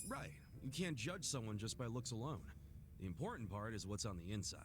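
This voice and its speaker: An actor playing a brash, good-hearted drinking buddy in a video game, blunt, earnest and easygoing. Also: male voice, lowish voice, big pitch swings, brisk. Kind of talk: English video game voice acting.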